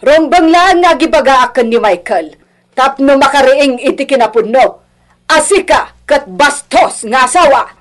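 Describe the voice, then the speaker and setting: A woman's voice: high voice, animated, a little slow. A woman acting an older, meddling mother-in-law who scolds and complains in a radio drama. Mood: indignant scolding. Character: meddling elderly mother-in-law, reproachful and self-righteous.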